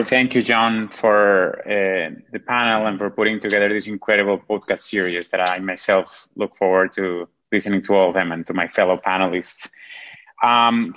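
A man speaking as an economist on a panel discussion, polite and a little halting. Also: low-pitched, fairly bright tone, dry recording, highs cut off.

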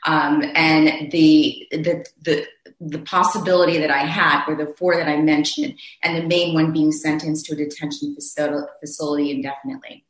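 A man's voice: fairly high pitch, level tone, moderate pace, fairly bright tone, reverberant, low-quality recording. A man speaking earnestly on a panel discussion, telling his own story, often restarting his phrases. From Zambia.

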